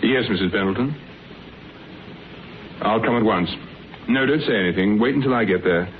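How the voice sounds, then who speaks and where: A man acting a shrewd, courteous British private detective in a radio drama, asking brisk, probing, measured questions. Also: lowish voice, natural voice. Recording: muffled narrowband old radio recording, faint noise.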